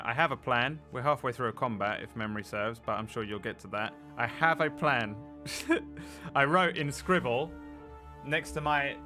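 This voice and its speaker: Player type, male, excitable and jokey, bright tone, music bed. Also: mid-range voice. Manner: excitable, joking, swearing. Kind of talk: casual tabletop chat.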